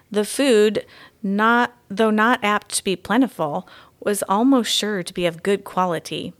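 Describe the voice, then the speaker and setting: Woman reading a children's story aloud. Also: medium pitch. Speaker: guest story reader.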